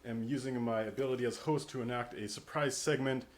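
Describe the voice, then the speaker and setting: A man's voice: mid-range voice, fairly even intonation, a little fast. A man hosting a podcast, talking casually in a panel discussion. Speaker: podcast host. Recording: roomy echo with clear background noise.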